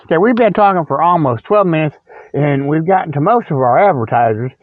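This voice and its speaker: A man's voice: a little high, expressive, fairly quick, no echo. A middle-aged man hosting a rambling comic radio monologue, folksy and repetitive, often checking in with an okay. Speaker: radio show host. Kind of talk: rambling comedic monologue with spoof ads. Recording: muffled, phone-like radio sound.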